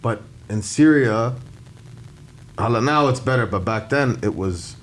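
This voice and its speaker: A man hosting a podcast in casual conversation, animated, dropping Arabic words into his talk. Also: mid-range voice, unhurried. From Canada. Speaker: podcast host.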